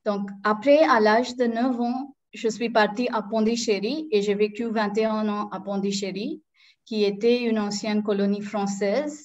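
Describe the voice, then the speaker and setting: A woman's voice: medium pitch, darkish tone. A woman in her thirties from India, a native Marathi speaker with an Indian accent, talking as a guest on a video call, with many hesitant fillers and restarts. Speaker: guest, Sanskrit teacher. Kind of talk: casual interview. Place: small room indoors. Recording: dull lo-fi video call audio.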